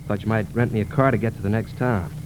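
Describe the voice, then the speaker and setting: An American actor playing a tense, suspicious young killer on the run, curt and guarded, in 1940s radio drama style. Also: male voice, fairly low pitch, steady tone, fast talker, background noise, lightly acted. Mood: guarded, polite. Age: young adult. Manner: curt, guarded, clipped answers. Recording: old 1940s radio broadcast, music behind.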